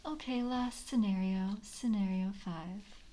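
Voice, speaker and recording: Soft half-whispering woman as a jaded vampire. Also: medium pitch, close to an everyday voice, rough recording. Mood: calm, businesslike. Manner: measured, gentle, officially polite. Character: calm, jaded female vampire coven screener. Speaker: ASMR roleplay performer. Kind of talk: soft-spoken ASMR roleplay.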